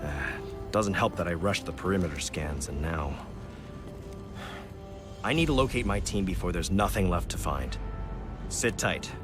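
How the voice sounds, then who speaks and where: Game squad leader: gruff, wary soldier, terse orders, sound behind the voice. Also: male voice, low-pitched, expressive, normal speed, pauses often. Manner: terse, commanding. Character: gruff, wary male soldier leading a lost team. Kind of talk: video game voice acting. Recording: noise or music behind dialogue.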